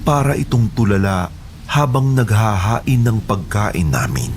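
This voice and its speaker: A man's voice: fairly low pitch, expressive, unhurried, background noise. A man with a Filipino accent reading scripted first-person horror narration.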